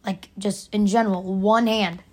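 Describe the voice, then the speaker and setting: A raspy young woman hosting her own podcast, arguing her opinion emphatically and repeating her points. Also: medium pitch, steady tone, moderate pace, a little mellow, slight background noise. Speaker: podcast host. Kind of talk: opinionated solo podcast rant.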